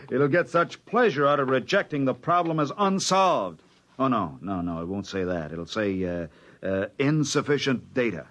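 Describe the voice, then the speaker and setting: An actor playing a bitter, fatalistic spaceship engineer with a sardonic, brooding delivery in an old radio drama. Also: male voice, mid-range voice, sing-song, unhurried, fairly bright tone. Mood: mocking. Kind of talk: mid-century radio drama acting. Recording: noisy crackly old radio recording.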